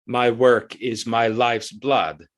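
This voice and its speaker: A man playing a flattering, deferential court artist who speaks in a formal, halting way in an audio drama. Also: medium pitch, close to an everyday voice. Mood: earnest. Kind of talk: theatrical audio drama acting.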